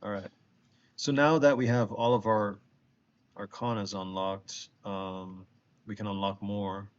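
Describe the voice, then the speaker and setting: A man's voice: lowish voice, level tone, a little slow, dull audio. Congested man streaming a game. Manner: chatty, thinking aloud to chat. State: congested from allergies. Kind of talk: casual game streaming chat.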